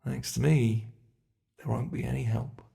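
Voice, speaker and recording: An ancient monster , acted out by a man, toys sadistically with human prey in an ASMR roleplay. The voice creaks with fry and takes its time over every threat. The delivery is theatrical and teasing, dropping into breathy, menacing whispers. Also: medium pitch, fairly even intonation, measured pace, mellow. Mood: excited taunting. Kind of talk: ASMR roleplay, scripted monologue.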